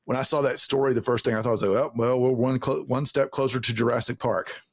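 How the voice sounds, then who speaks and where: A man's voice: mid-range voice, fairly even intonation, fast, creaky, breathy edge, dry recording, clean studio sound. A man hosting a casual interview, rambling and repeating words as he works out a thought. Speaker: interview host.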